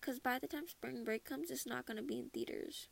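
Teen girl from minnesota rambling about school n stuff, kinda high voice with vocal fry, says like a lot. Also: lively intonation, brisk. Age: teens. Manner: rambling, laughs often, heavy use of "like". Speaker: podcast host. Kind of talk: casual personal chat.

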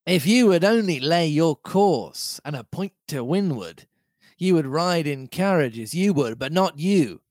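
A man's voice: a little high, animated, unhurried, darkish tone, no echo, studio quality. Man acting a scheming, domineering pirate sea cook, Long John Silver. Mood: scornful. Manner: rustic old seafaring dialect, emphatic and speechifying.

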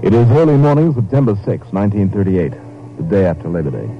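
A man's voice: lowish voice, big pitch swings, fast talker. A man giving scripted narration in a 1950s radio drama style. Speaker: story narrator. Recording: muffled old radio recording. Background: faint music.

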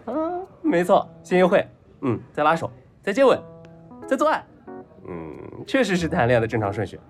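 A pampered young rich guy hopelessly in love in an audio drama. He is eager and whiny, pleading with childish earnestness. His pitch leaps around freely, over a music bed. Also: huge pitch swings, moderate pace. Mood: eager, chatty. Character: lovestruck spoiled young rich man, clingy and naive. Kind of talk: Chinese audio drama acting.

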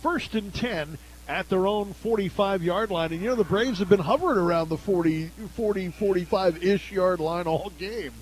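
An American man doing live radio play-by-play commentary of a high school football game. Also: normal speed, a little hiss or hum, rough recording. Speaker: play-by-play announcer.

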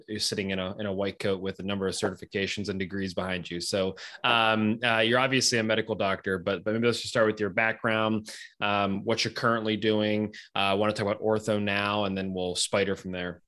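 A man's voice: lowish voice, level tone, a little fast, pushed and bright. A man hosting a podcast, reading a scripted intro and then chatting with a guest, hesitant with many fillers. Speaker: podcast host.

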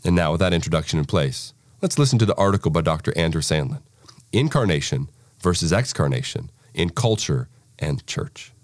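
Low-voiced man giving a scripted introduction. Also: expressive, a little fast, dry recording, studio quality. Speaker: podcast host introducing an article.

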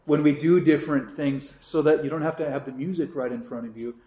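Chatty priest in an echoey room. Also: male voice, a little high, steady tone, brisk, dark tone. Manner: rambling, many fillers and restarts, sings brief chant examples. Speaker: priest teaching a catechism class. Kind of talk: casual classroom teaching. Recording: roomy echo.

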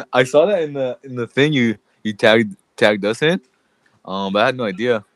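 A man's voice: mid-range voice, normal speed, dry recording. A man co-hosting a podcast in casual chat, congested and laughing often as he jokes around. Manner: laughs often, loose and joking. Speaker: podcast co-host.

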